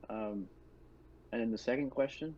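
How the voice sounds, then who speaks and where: A man casually talking through his turn in a tabletop roleplaying game, on a low-fidelity mic. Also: normal speed, a little mellow. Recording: dull, noisy low-fidelity voice-chat mic.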